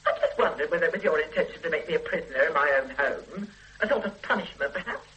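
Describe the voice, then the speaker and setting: A bitter invalid wife. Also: female voice, sits low, expressive, fast, a bit bright, poor audio. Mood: cold, bitter. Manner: cold, cutting, controlled. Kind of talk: radio play acting.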